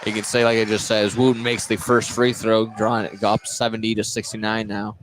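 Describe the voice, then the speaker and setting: A man doing live play-by-play commentary of a high school basketball game from inside a gym. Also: level tone, brisk, a little hiss or hum, low-quality recording. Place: indoor gymnasium commentary position.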